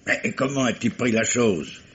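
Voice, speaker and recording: An actor playing a courteous, dryly ironic French police commissioner, questioning in measured fashion in a 1950s-style radio drama. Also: male voice, mid-range voice, expressive, husky. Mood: curious. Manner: measured questioning with dry irony. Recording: dull vintage radio recording.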